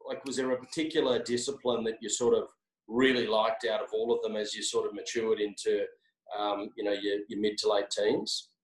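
A man's voice: lowish voice, level tone, a little fast. A man interviewing someone, asking long questions with many repeated words and hedges. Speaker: interviewer, company executive. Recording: echoey room.

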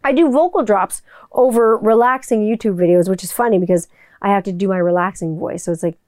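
A woman's voice: medium pitch, a little fast, a little mellow, no room sound. A woman who does voiceover and music work, talking to her viewers in a rambling, chatty way that includes casual swearing. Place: home room with people upstairs.

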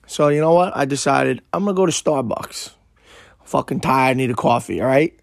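A young man, hosting a podcast and telling a story in a brash, profane, animated way, his voice hoarse and worn out. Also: medium pitch, moderate pace, no echo, studio quality. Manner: brash, swearing, animated retelling. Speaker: podcast host. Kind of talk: casual profane storytelling monologue.

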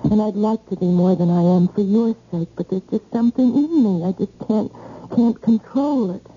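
A woman playing a brash, insecure, slangy young woman with halting repetitions, in old-time radio drama style on a muffled recording. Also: mid-range voice, slightly rough, lightly acted. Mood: apologetic, earnest. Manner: slangy, halting, repeats herself.

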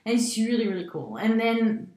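A woman's voice: a little high, very animated, normal speed, darkish tone, roomy sound. A woman co-hosting a casual podcast, rambling and filling her speech with "like", laughing often. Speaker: podcast co-host. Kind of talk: casual chat.

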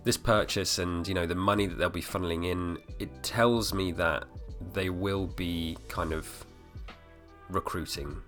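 Male solo podcast host, 30s, very low voice, a bit hoarse, loose rambling delivery, faint music underneath. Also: fairly even intonation, unhurried, a bit bright. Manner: rambling, full of fillers and restarts. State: slightly hoarse. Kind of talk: casual solo podcast talk.

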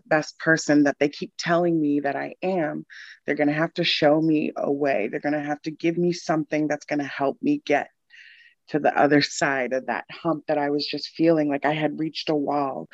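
Chatty woman, low voice, dry sound. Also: no room sound, lo-fi sound. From Boston area, Massachusetts. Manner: chatty, laughs often, many ums. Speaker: podcast guest. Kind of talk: casual interview chat.

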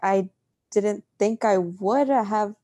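A young woman from Mexico co-hosting a podcast, telling stories in a casual, animated way and acting out quoted lines. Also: mid-range voice, slow pace. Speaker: podcast co-host. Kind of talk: casual chat.

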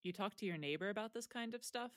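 A woman's voice: medium pitch, fast, no echo, natural voice. Guarded, dry teenage girl athlete played by a woman. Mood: awkward, subdued. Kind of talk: audio drama acting, naturalistic dialogue.

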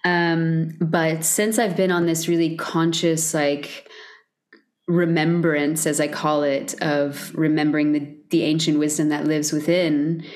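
A woman with a deep speaking voice talking softly and reflectively about voice and spirituality in a casual podcast conversation. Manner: soft, reflective, spiritual, lots of "like". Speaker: podcast guest, voice coach.

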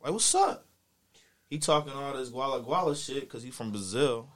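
A man's voice: mid-range voice, expressive, normal speed. Male, US East Coast accent, mid-range voice, casual storyteller with heavy slang and a boastful streak. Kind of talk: casual chat, animated storytelling.

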